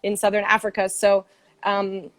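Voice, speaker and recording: A woman's voice: medium pitch, completely flat delivery, normal speed, dry recording. Earnest American woman on a dry video call. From Brazil and the US. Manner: warm, earnest, frequent ums and soft laughs. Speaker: guest supporter. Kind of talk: casual video call conversation. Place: home, stayed in the US.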